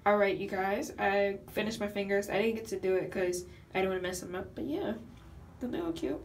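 A woman's voice: medium pitch, steady tone, fairly quick, noisy background. A young woman chatting to her viewers in a casual vlog, filmed on a phone held at arm's length in a small tiled bathroom. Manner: chatty and bubbly, addressing viewers directly. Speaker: vlogger. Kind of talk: casual vlog chat to camera. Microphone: phone camera held at arm's length.

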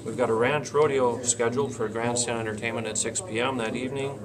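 A man in his forties reading out an event schedule as an interview guest, plain-spoken and halting. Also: medium pitch, steady tone, a crowd in the background, rough recording. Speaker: county fair board president, interview guest. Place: small indoor meeting room, seated at a table. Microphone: camcorder a short distance in front.

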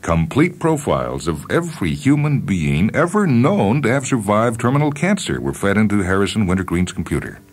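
A man reading a short story as scripted radio narration, deliberate and wry, with theatrical phrasing and dramatic pauses. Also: deep voice. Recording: music bed under narration. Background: background music with guitar.

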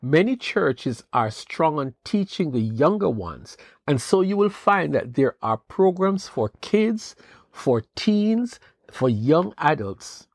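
A man hosting a devotional podcast, giving an earnest, measured Bible teaching with rising questions. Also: on the high side, big pitch swings, no echo, clean studio sound. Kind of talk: scripted devotional talk.

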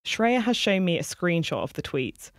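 A reporter giving scripted news narration with a clear, even delivery. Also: mid-range voice, moderate pace, no room sound, studio quality.

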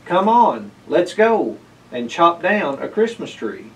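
A man's voice: a little high, sing-song, takes it slowly, a bit bright, distant mic, pitched above the performer's own voice, exaggerated voice, low-quality recording. A man voicing an eager, playful, cheeky little cat in a children's storybook read-aloud.

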